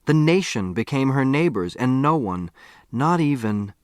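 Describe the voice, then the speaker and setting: A man's voice: mid-range voice, animated, unhurried, no room sound, studio quality, lightly acted. A man voicing a proud, stubborn father with an emphatic, declamatory manner in an audiobook story. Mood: explaining.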